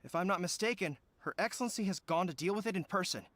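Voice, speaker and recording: A man's voice: high voice, quick pace, fairly bright tone, no room sound. A man voicing a loyal, earnest young male general with dog traits in a video game, speaking formally and dutifully. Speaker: resistance general.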